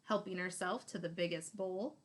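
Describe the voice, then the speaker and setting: A woman in her thirties reads a children's picture book aloud expressively, leaning deliberately on key words. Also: lowish voice, fairly even intonation. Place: small room indoors.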